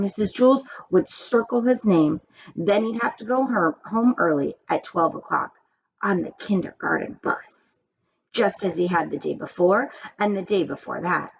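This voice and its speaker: A woman reading a children's story aloud in an expressive storytelling style. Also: on the high side, creaky. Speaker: story reader. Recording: dull, muffled, background noise.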